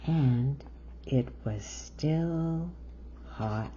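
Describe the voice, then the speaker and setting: Slow, expressive woman storyteller, slightly muffled. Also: extremely low pitch. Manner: expressive, drawn-out phrasing, gasps of wonder and questions to young listeners. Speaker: storyteller reading a picture book to children. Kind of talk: animated children's read-aloud storytelling. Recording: dull lo-fi sound with faint noise.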